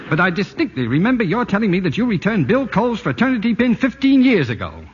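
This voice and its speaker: A flustered suburban husband in a vintage radio play. His voice is fairly high and lively, and he stammers when cornered. Dull broadcast sound and hiss sit beneath every line. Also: brisk, long reverb tail. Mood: jealous. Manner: flustered and stammering, then sheepish. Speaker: radio actor. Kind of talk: 1940s radio acting. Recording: hissy old radio broadcast.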